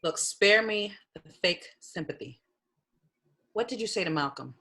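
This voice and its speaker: An actress at a table read playing a proud, guarded lawyer with a curt manner. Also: female voice, mid-range voice, expressive, unhurried, pushed and bright. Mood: angry, guarded.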